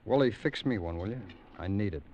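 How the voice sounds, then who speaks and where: A man's voice: lowish voice, expressive, fairly quick, a little mellow, a little hiss or hum, natural voice. An actor playing a cynical, world-weary tough guy with a conscience in a laconic 1940s radio drama style. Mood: weary, firm. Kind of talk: 1940s hard-boiled radio drama acting. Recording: dull old radio recording, music behind.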